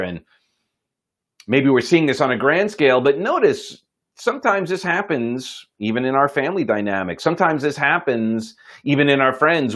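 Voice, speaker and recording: A man telling a motivational story in an informal, folksy and earnest way, pausing for dramatic effect. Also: mid-range voice, a bit bright. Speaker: host telling a moral story.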